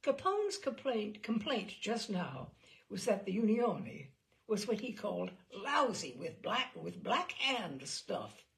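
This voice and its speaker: A woman reading a memoir aloud as a narrator, in a wry and expressive storytelling manner. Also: mid-range voice, takes it slowly, vocal fry. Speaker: reader. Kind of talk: audiobook narration.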